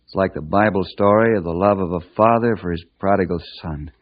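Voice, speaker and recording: A man's voice: low voice, fairly quick, natural voice. A man playing a patient, upright mentor to boys, earnest and reassuring, with an American accent, in an old-time radio drama. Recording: muffled old radio recording.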